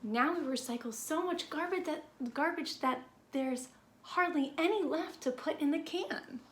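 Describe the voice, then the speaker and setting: High-voiced woman reading to children, echoey room. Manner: expressive, deliberate delivery for young listeners. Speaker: teacher reading a picture book aloud. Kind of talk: children's read-aloud storytelling. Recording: roomy echo with background noise.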